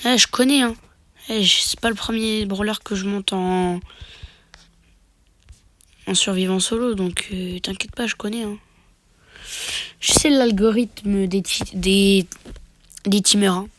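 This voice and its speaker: A man's voice: high-pitched, fairly even intonation, measured pace, pauses often, a bit bright, no room sound. A man with a cold, sniffling as he casually commentates his own match into a phone mic, muttering half-whispered asides and mock boasts. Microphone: phone microphone.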